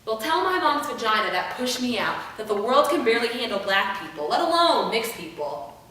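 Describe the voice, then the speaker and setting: A young adult woman performing a rehearsed spoken-word monologue with emphatic, theatrical delivery and deliberate pauses. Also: big pitch swings, pushed and bright. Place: room without an audience. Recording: echoey room, distant mic, rumbling noise.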